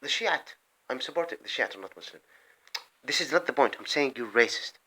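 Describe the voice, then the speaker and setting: A man holds forth in a rambling, heated monologue with a lively voice that climbs and drops sharply. His tone is bright and pointed. He often cuts himself off, restarts, and drops to mutters before flaring up again. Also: mid-range voice, fairly quick, husky. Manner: swings between loud outbursts and muttered asides. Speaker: vlogger. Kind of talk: impassioned political monologue.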